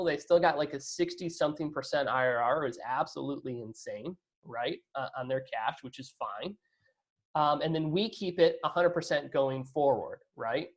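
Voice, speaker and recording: A man speaking as an investor guest in a casual business interview, conversational, often adding "you know" and "right?" tags. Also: fairly high pitch.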